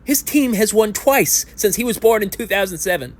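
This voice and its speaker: A man's voice: high voice, lively intonation, normal speed, slight background noise, voice pushed up. A man in his thirties playing a self-pitying lifelong sports fan at a support group, rambling and self-deprecating, and sometimes rising into indignant rants in an audio play. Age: 30s. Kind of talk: amateur audio play acting.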